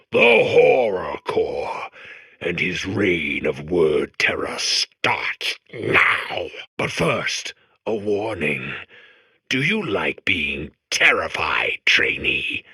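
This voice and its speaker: Melodramatic game horror-host robot, very gravelly and sharp, pompous, slow, wildly swooping. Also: male voice, low-pitched, no echo, exaggerated voice. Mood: grandiose, then mock-menacing. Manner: melodramatic, pompous, swinging from hush to shriek. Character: theatrical horror-storytelling machine core, grandiose and self-important. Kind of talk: comedic video game horror-host acting.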